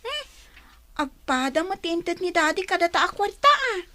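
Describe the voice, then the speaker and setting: A woman's voice: extremely high pitch, big pitch swings, normal speed, sharp tone, slight background noise, exaggerated voice. A woman with an Ilocano accent playing a sensible, thrifty young daughter in a radio comedy drama, matter-of-fact and chiding. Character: sensible, thrifty young daughter who scolds her twin.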